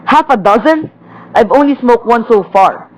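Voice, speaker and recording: A woman's voice: fairly high pitch, animated, moderate pace, lightly acted, low-quality recording. A woman playing a stern, middle-aged, cigar-smoking father in an amateur radio play. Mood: stern, suspicious. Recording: faint background noise.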